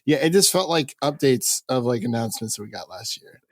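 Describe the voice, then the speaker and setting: A man's voice: medium pitch, lively intonation, fairly quick, no room sound. A man hosting a film podcast, chatting casually and stumbling over names with a self-deprecating laugh. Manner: laid-back, fumbles names, self-deprecating. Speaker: podcast host.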